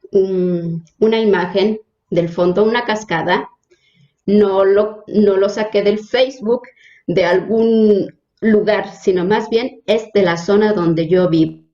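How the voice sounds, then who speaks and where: A religious sister from Hidalgo, Mexico, whose first language is Nahuatl, introducing herself in an interview with a hesitant manner and many fillers. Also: female voice, mid-range voice, dark tone, roomy sound, lo-fi sound. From Mexico, Hidalgo state. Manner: hesitant, frequent fillers and restarts.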